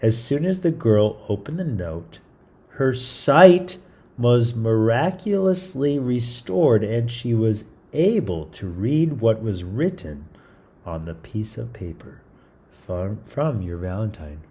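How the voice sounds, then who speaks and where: Man, read-aloud storyteller, lively intonation, mellow relaxed tone, informal, casual asides. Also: lowish voice, slow. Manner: informal, chatty, stumbles while reading. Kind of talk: reading a picture book aloud with casual asides.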